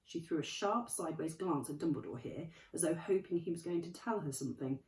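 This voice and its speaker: A woman, a primary school teacher, reading a children's novel aloud in a clear, measured way. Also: low-pitched, darkish tone. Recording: echoey room, distant mic.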